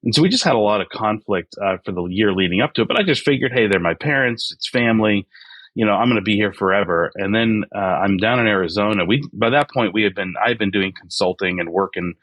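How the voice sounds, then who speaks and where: Man, 40s, low voice, earnest interview guest, dry recording. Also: lively intonation, quick pace, fairly bright tone, no room sound. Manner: earnest, many fillers and restarts. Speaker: podcast guest. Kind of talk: reflective interview talk.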